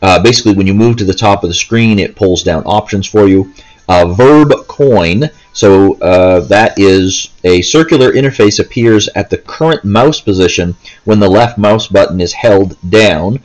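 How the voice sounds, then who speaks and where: Low-voiced man, casual and rambling. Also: normal speed, darkish tone, poor audio. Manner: hesitant, rambling, often stops mid-thought. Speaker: gaming video host. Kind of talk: casual, unscripted gameplay walkthrough. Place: indoors, seated, handheld console in hands.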